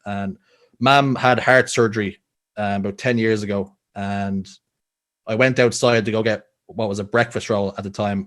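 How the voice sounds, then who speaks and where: Low-voiced Dublin man telling stories. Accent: Dublin Irish. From Ireland. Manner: earnest storytelling, many restarts. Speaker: guest. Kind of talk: casual podcast interview.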